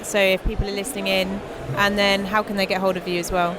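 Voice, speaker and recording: Female podcast interviewer, mid-pitched, casual on-location questioning, with a noisy conference venue behind her. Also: little pitch movement, quick pace. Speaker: podcast host interviewing guests. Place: busy tech conference venue. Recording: noisy event floor, constant background din.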